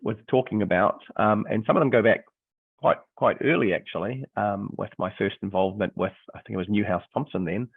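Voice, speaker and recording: A middle-aged man with a New Zealand accent talks through a webinar, his low voice croaky and gravelly from illness. He hesitates often, filling pauses with ums. The sound is dry and thin, like a phone-line video call. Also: no room sound. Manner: hesitant, many ums and restarts. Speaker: history teacher and textbook author. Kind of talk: webinar presentation.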